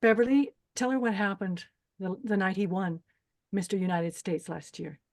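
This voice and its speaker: A woman acting a bossy, menacing beauty pageant host in a screenplay table read, brisk and commanding. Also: medium pitch, steady tone, natural voice. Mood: pressing, taunting.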